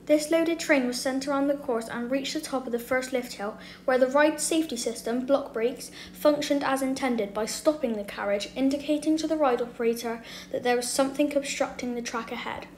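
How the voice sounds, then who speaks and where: A woman with a high voice narrates a scripted documentary for a video. The sound is roomy and echoey, with faint noise behind her. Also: brisk, few pauses, darkish tone.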